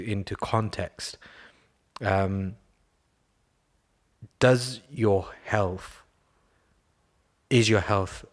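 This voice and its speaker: A man asking questions in a casual conversation, halting and repeating words as he searches for the right phrasing. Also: lowish voice. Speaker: podcast co-host, interviewer.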